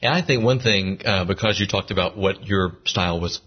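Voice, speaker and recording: A man's voice: low voice, brisk, slightly rough. A man hosting an interview, asking long, rambling questions. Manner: rambling questions with fillers and restarts. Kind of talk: casual interview. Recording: slightly dull lo-fi recording.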